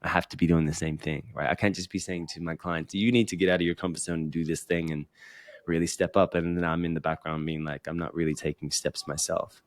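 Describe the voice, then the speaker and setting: A man's voice: deep voice, level tone, fast talker, dry recording. A man speaking as an interview guest and coach, explaining things in an earnest, instructive, conversational way. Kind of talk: conversational explanation of personal growth.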